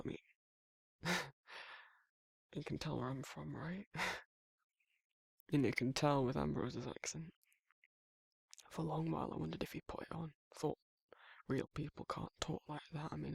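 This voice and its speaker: A man playing a protective, affectionate werewolf boyfriend in an intimate ASMR roleplay, murmuring casually in a husky, breathy voice that often drops toward a whisper. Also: on the high side, no room sound, exaggerated voice. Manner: casual, colloquial, soft-spoken intimate murmur with soft chuckles.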